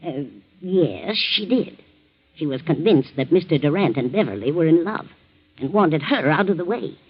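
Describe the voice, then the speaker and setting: An actress playing an anxious, loyal female servant in a 1940s radio drama, husky and hesitant, on a muffled old recording. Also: sits low, lively intonation, normal speed. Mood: frightened, upset. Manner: hesitant, deferential.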